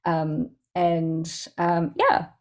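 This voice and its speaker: A woman's voice: on the low side, animated, extremely slow, darkish tone. A woman with a Southland New Zealand accent, answering questions as a poet in an informal, thoughtful way, often searching for her words. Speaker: poet and guest speaker. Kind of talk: informal question-and-answer talk at a poetry event.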